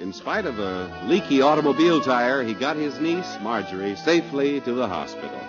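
A man's voice: sing-song, moderate pace, over loud music. A man announcing in a polished, emphatic 1950s radio style, reading scripted ad copy and narration. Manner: polished, emphatic sales pitch. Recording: dull old radio recording.

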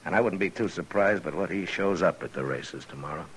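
A man playing a steady, authoritative frontier marshal in a 1950s radio drama, speaking in a measured, dry, laconic way. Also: deep voice, lightly acted. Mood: easygoing, wry. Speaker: lead actor in a western radio drama. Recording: noisy vintage 1950s radio recording.

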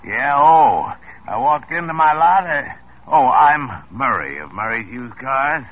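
An actor playing a smooth-talking used car dealer with folksy sales patter. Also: male voice, mid-range voice, animated, unhurried, fairly bright tone. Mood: salesy. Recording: muffled old radio recording with faint hiss.

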